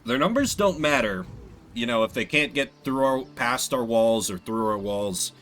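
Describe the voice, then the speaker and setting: A man's voice: medium pitch, animated, normal speed, fairly bright tone. A man doing casual, playful game commentary with joking asides and some swearing. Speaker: streamer playing a game.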